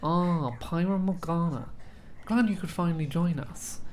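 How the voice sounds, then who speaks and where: A woman's voice: on the low side, unhurried, a little mellow, a little hiss or hum, lightly acted. A woman playing a strict, dry academy lecturer in a fantasy audio drama, speaking formally and reprovingly.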